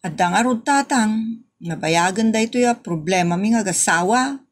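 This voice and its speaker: Woman doing a young wife, pleading, scolding, mid pitch, comedy drama. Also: lively intonation, normal speed, close to an everyday voice.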